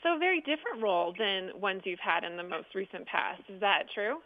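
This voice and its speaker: A woman's voice: medium pitch, expressive, fairly quick, phone-quality sound. An American woman presenting and interviewing in a local television style.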